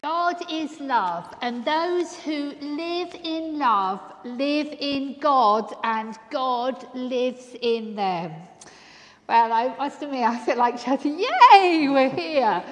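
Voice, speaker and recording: A woman officiating a church wedding, her voice set a little high and delivered very slowly. Each phrase is spaced out for the congregation, and the long stone-church reverb trails after every line. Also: expressive, a little hiss or hum. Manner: warm welcoming, leading vows phrase by phrase. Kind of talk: wedding liturgy, slow and measured.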